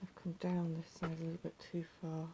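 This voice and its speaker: A woman with a slightly croaky voice, patiently talking viewers through a craft step by step and often dropping to a near whisper. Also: sits low, completely flat delivery, fairly quick, mellow, slight background noise. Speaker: needle felting teacher. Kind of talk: hands-on craft tutorial.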